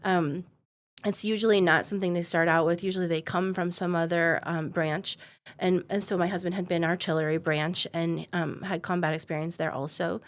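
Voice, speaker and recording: A woman's voice: on the low side, steady tone, normal speed. A woman in her forties, a company founder, telling her story in an easygoing way with plenty of ums and laughs. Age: 40s. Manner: easygoing, laughs often, many um fillers. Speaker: guest, defense company founder. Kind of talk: conversational interview storytelling.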